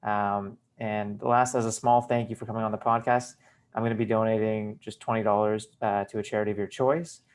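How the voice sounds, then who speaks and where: Male podcast host, lowish voice, polite, measured, casual interview. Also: flat delivery, smooth. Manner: polite, measured, thanking the guest.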